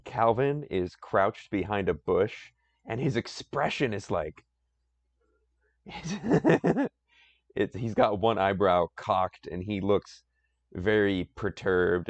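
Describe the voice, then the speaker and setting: Deep gravelly male streamer. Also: no echo, highs cut off. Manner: self-deprecating, rambling. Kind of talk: casual live-stream chat.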